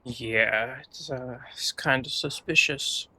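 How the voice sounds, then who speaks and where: A man's voice: mid-range voice, slow pace, pushed and bright, a little hiss or hum. A man giving casual, offhand gameplay reactions as a streamer, informal and prone to swearing. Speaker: video game streamer. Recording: faint game music behind.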